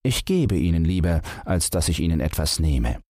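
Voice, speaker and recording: A man's voice: very deep voice, big pitch swings, moderate pace, a little mellow, dry recording, studio quality, heavily acted. A man voicing a clever grown son who has become a proud master thief. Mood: boastful.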